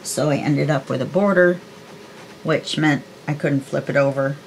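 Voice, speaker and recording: A woman's voice: sits low, normal speed, slight background noise, low-quality recording. A woman of about sixty chatting casually through a craft demonstration, thinking aloud in a folksy, self-deprecating way. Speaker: crafter presenting a tutorial. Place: indoors at a craft desk.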